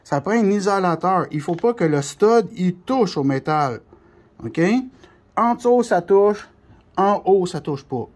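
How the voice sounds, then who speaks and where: A man with a Quebec French accent and a distinctly high voice. He explains things informally, like a technician showing parts by hand. His wording is colloquial, and he repeats himself for emphasis. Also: animated, unhurried, darkish tone. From Canada. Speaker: CB radio shop technician. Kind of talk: informal hands-on product explanation.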